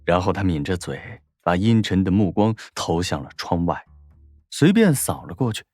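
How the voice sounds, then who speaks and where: A man's voice: deep voice, very animated, moderate pace, darkish tone, no echo, soft background music. A man narrating a scripted story as an audiobook narrator, in a dramatic storytelling style.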